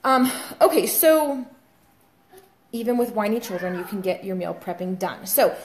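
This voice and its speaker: A woman's voice: mid-range voice, expressive, normal speed, slight background noise, poor audio. A young woman, a fitness coach, chatting casually to viewers in a home kitchen, with plenty of ums, filmed on a phone held at arm's length. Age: young adult. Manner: chatty, many fillers, talks to viewers as guys. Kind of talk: casual vlog tutorial. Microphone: phone camera held at arm's length.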